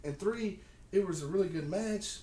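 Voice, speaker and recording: A man's voice: sits high, moderate pace, warm tone. A man co-hosting a casual wrestling podcast, recapping in a wry, opinionated way. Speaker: podcast co-host. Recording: echoey room, distant mic, faint noise.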